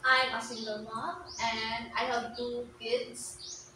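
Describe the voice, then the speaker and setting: A soft-spoken young woman with a Filipino accent gives short, shy answers in a slow, unhurried way. Her voice sits fairly high. She is heard from off the mic in a very echoey room, with a fan humming behind her. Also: flat delivery, distant mic, a little hiss or hum. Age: 20s. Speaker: interview guest.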